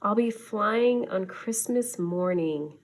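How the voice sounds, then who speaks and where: Woman reading as an earnest, slow-talking penguin. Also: medium pitch. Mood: hopeful. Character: wistful flightless male penguin, earnest and self-pitying. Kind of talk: children's storybook character.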